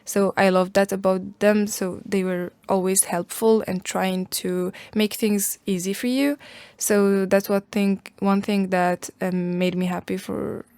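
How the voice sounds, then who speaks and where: A woman's voice: medium pitch, little pitch movement, a little slow, a little mellow, clean tone, no echo. A young Algerian woman whose first language is Arabic, answering interview questions in a casual, thoughtful way, with many fillers and restarts. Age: young adult. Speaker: guest.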